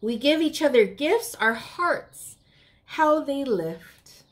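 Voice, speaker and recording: An American woman in her thirties hosts a storytime for young children. Her voice sits a little high, and she reads aloud expressively, speaking directly to her young listeners. She is recorded indoors in a small room. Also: slow. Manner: addresses young listeners, expressive picture-book reading. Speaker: children's storytime host at a public library.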